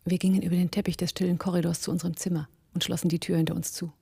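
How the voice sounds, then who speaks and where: Composed female narrator, crime radio play, gravelly fry, mellow, restrained intonation. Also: lowish voice, little pitch movement, racing, no echo, studio quality, exaggerated voice. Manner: composed, matter-of-fact storytelling. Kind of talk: scripted radio drama narration.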